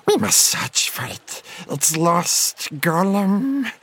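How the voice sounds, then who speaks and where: A man voicing a wretched, obsessive cave creature in a storybook, with a shrill, strained, hissing voice and drawn-out, repetitive speech. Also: lively intonation, a bit bright, no room sound, full character voice. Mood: miserable, stubborn. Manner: drawn-out wailing, muttering, repeating himself.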